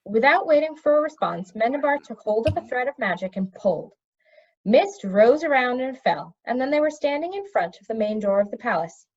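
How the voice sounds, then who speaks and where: A woman giving an expressive read-aloud narration of a children's fantasy story. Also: fairly high pitch, a little fast, highs cut off. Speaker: storybook reader.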